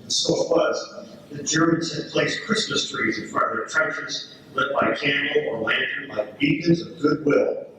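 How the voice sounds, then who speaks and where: A man playing a wistful British First World War soldier, telling his letter home in an earnest, measured readers theater style. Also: on the high side, pushed and bright. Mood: earnest wonder. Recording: echoey hall from far off, faint noise.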